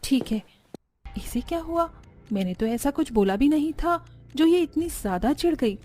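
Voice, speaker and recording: A woman's voice: high-pitched, animated, normal speed, darkish tone, soft background music, voice pushed up. A woman voicing a responsible, polite young elder sister and new bride in a cartoon story. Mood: earnest. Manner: polite, eager to help. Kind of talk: Hindi cartoon story acting.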